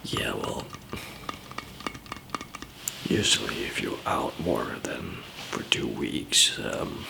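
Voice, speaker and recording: A man softly whispering and murmuring in an ASMR roleplay, explaining things gently and haltingly as a survivor. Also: expressive, background noise. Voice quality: soft whispering mixed with low murmur, gravelly. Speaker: ASMR roleplayer playing a survivor camp member handing out supplies.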